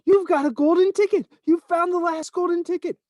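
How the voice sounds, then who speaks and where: A man voicing a fat, excitable middle-aged male shopkeeper who shrieks with excitement in a storybook reading. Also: medium pitch, a little fast, no room sound, studio quality, natural voice. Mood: ecstatic shrieking.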